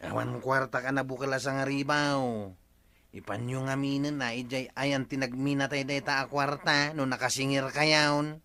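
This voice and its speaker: A man playing a bright, lecturing Ilocano village man. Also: medium pitch, level tone, normal speed. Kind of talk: Ilocano radio comedy drama acting.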